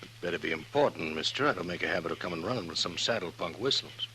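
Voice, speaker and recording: Curt male saloon racketeer, deep, fast, condescending, faint old-radio noise. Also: expressive, pushed and bright. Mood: irritated, guarded. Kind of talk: 1950s radio western acting. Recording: noisy 1950s radio drama recording.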